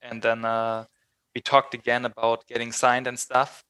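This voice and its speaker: A man's voice: medium pitch, monotone, normal speed, bright, forceful tone, clear, resonant tone, no echo. A man with a German accent telling his band's story in a casual interview, hesitant and full of fillers. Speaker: band member being interviewed.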